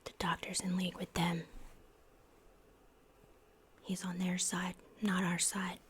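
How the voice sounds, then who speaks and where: A woman playing a paranoid, unhinged asylum patient in a horror ASMR roleplay, secretive and halting, obsessively repeating her words. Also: fairly low pitch, monotone, fairly bright tone, slight background noise. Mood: warning.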